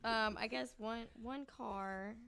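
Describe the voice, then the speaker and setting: A woman's voice: a little high, steady tone, slow pace, gravelly, bright, forceful tone. A woman telling stories in a casual, hesitant way as a podcast guest, as if piecing details together while reading. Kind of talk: casual storytelling chat.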